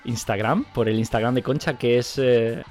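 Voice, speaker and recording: A man from Catalonia hosts a podcast in an everyday mid-pitched voice. He teases his guest playfully and laughs easily while asking questions. Soft background music sits under the conversation. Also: steady tone, moderate pace. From Catalonia, Spain. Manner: jokey, laughs easily, teasing. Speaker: podcast host. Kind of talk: casual interview chat.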